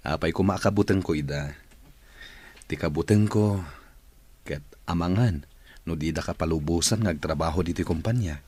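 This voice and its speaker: An actor playing a polite, flattering company boss in a radio drama, with an Ilocano accent. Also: male voice, deep voice, unhurried, long pauses, slightly rough. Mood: earnest, coaxing.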